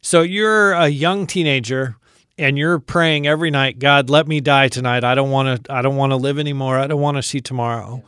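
Smooth-voiced American radio host. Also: male voice, medium pitch, a little fast, no room sound, studio quality. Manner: calm, attentive, sums up the guest's words.

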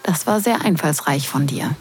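A woman acting in an intimate audio drama as a teasing wedding guest who is drawn in, breathy and hushed. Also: low voice, animated, heavily acted. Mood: teasing, grudging respect. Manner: breathy, hushed, slow and sensual delivery.

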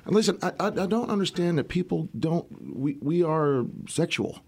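A middle-aged man, a musician guest, talking casually in a rambling way, his voice worn and raspy at the end of a tour. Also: fairly high pitch, animated, normal speed. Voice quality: gravelly. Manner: rambling, restarts often, swears casually. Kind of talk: casual interview chat.

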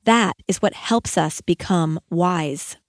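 A woman educator reading her own audiobook as scripted narration, with measured, emphatic phrasing and deliberate pauses. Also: on the low side, no echo, clean studio sound.

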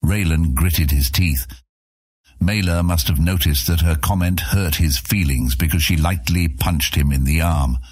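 A man narrating a fantasy audiobook from a script, with a husky voice. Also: very deep voice, unhurried, darkish tone, no echo.

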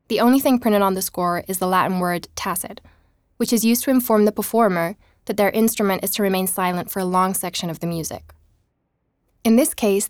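An actress playing a podcast host, narrating in a calm, reflective way for an audio drama. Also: female voice, mid-range voice, dry recording, studio quality, lightly acted. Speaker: podcast narrator and investigator Emma.

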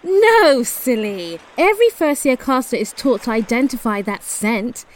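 A woman playing a prim, earnest young spellcaster with a ladylike, deliberate manner in an audio drama. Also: a little high, sing-song, low-quality recording. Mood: prim, teasing.

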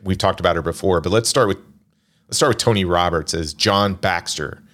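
A man's voice: deep voice, brisk, fairly bright tone. A man hosting a casual movie podcast, joking and rambling as he leads the talk. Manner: jokey, rambling, self-deprecating. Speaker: podcast host.

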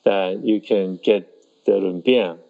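Gravelly low man, halting answers. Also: phone-quality sound. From Taiwan. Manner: halting, with many restarts and pauses. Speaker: festival organizer. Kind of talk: conversational interview answers.

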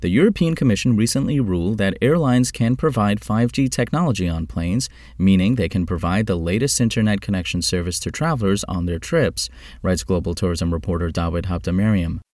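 A man's voice: low voice, a little fast, darkish tone, dry recording, studio quality. A man reading scripted travel-style news briefs as a podcast host.

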